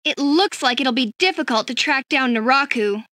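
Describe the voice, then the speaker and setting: High, animated schoolgirl. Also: normal speed, no echo, clean studio sound. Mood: puzzled, doubtful. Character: earnest, questioning schoolgirl adventurer. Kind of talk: anime video game voice acting. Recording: game audio with clear background noise.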